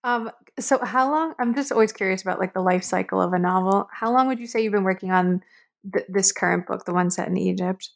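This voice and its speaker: A woman's voice: medium pitch, fairly quick, vocal fry. Encouraging woman interviewer. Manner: friendly, encouraging, brief affirmations. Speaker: interviewer, managing editor. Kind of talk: casual interview.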